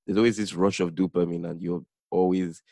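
A man's voice: low-pitched, level tone, fairly quick, creaky, dark tone, no echo, studio quality. A man hosting a podcast and quizzing his guest in a playful, teasing way. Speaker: podcast host. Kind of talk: casual podcast interview.

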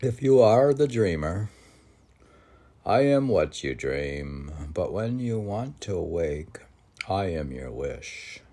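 Deep, creaky-voiced man slowly reading poetry. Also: big pitch swings, mellow. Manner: musing, halting, talks to himself with questions. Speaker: podcast host reading poetry. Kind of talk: poetry reading with rambling casual commentary.

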